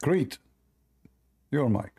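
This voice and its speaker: A man's voice: drawn-out words, warm tone. A man with an Arabic accent, hosting a live debate stream, talking in a blunt, dismissive, argumentative way. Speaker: debate livestream host.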